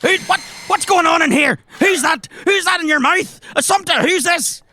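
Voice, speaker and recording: A man as a high-pitched, excitable Irish sensei. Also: moderate pace. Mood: alarmed. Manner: blustering, insistent, colloquial. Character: excitable, cocksure male amateur self-defence sensei.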